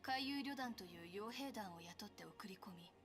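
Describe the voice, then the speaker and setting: A woman's voice: mid-range voice, expressive, fast talker. An actress voicing a composed, knowledgeable woman giving a serious briefing in a Japanese video game. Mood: serious, explanatory. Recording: game music loud behind the voice.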